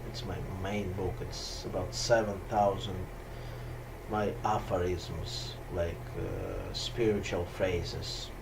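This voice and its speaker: A man from Russia with a Russian accent, a yoga teacher talking reflectively with many hesitations and pauses, sometimes clearing his throat. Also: deep voice, expressive, noisy background. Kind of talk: slow reflective monologue.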